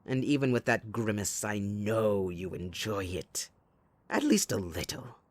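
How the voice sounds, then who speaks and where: A man playing a gleeful, sadistic supervillain kidnapper in an audio roleplay, with a theatrical, mocking delivery that swings between soft and loud. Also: fairly low pitch, animated, a little slow, dry recording. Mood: sly, musing. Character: sadistic, gleeful male supervillain kidnapper taunting his captive sidekick.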